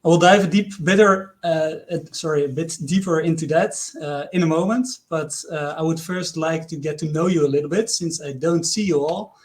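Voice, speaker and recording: A man's voice: a little high, a little fast, hardly any pauses. A man from the Netherlands speaking English with a Dutch accent, giving an earnest online conference presentation with frequent hesitations and questions to the audience.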